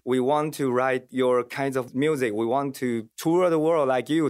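A man's voice: medium pitch, dry recording, studio quality. A man from Taiwan with a Taiwanese accent, a metal musician answering interview questions in earnest, searching for his words in English.

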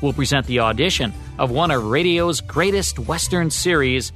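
A man's voice: mid-range voice, animated, normal speed, fairly bright tone. A man with an American accent co-hosting a radio program, reading scripted narration about radio history. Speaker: radio show co-host. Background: background music.

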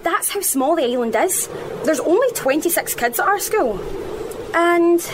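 A woman's voice: very high-pitched, animated, measured pace, background noise. A woman playing a bossy, chatty schoolgirl who films a casual vlog in a radio drama. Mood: chatty explaining. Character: bossy, chatty island schoolgirl vlogger named Lily.

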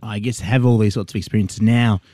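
A man's voice: lowish voice, steady tone, fairly quick, dark tone, dry recording, studio quality. A man hosting a casual chat, asking questions in a wry, conversational way. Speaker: host.

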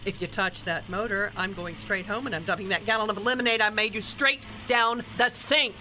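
A woman's voice: mid-range voice, steady tone, fairly quick, pushed and bright, a little hiss or hum, close to an everyday voice. A woman playing a bossy, teasing older grandmother in a radio play, dryly exasperated with her husband and scolding in a no-nonsense way. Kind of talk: small-town radio play acting.

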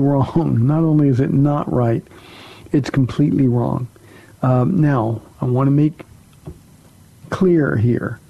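A male pastor hosts a call-in radio program with a very mellow, husky voice. He teaches conversationally, stressing his points and sometimes repeating words as he searches for the next phrase. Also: mid-range voice, poor audio. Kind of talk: conversational Bible teaching, answering listener questions.

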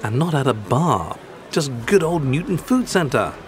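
A man's voice: fairly high pitch, very animated, a little slow, a little hiss or hum. A man hosting a podcast in scripted narration, explaining things in articulate, rather formal phrasing. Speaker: podcast host.

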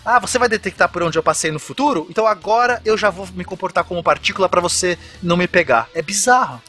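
A man with a high, bright, husky voice explains a science idea in lively, slangy talk. Faint music sits behind him on the podcast. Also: fast. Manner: animated, slangy. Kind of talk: casual explanation.

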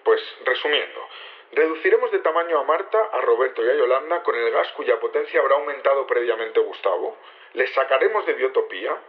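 An actor playing a composed team leader, explaining methodically and politely in an audio drama. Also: male voice, mid-range voice. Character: composed male leader briefing his conspirators, methodical. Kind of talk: naturalistic audio drama acting. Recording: slightly dull radio-like sound.

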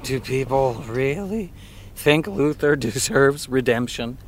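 A high-strung, disillusioned American writer in a podcast drama. The delivery swings widely in pitch, emphatic and exasperated, yet measured in pace and exact in wording. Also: male voice, expressive, a little hiss or hum. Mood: incredulous. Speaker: voice actor. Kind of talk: naturalistic fiction podcast dialogue.

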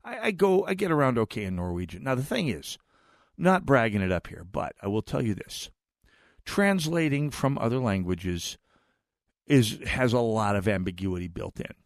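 A man's voice: medium pitch, big pitch swings, moderate pace, no echo, studio quality. An American man, middle-aged, hosting a talk radio show in a chatty, digressive monologue with frequent restarts. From United States. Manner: chatty, digressive, repeats words when restarting.

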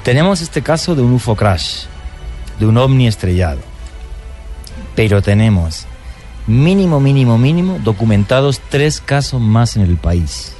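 A man hosting a radio talk show about mysteries, talking with animated enthusiasm. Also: mid-range voice, measured pace, faint music behind. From born outside Colombia.

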